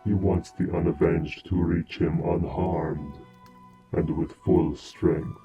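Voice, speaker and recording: A man acts a grave dark knight sworn to serve in a fantasy audio drama. His voice is very low and level, formal and deliberate, over clear music. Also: flat delivery, lo-fi sound. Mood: solemn, dutiful. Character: stern, dutiful dark knight henchman, calm and formal.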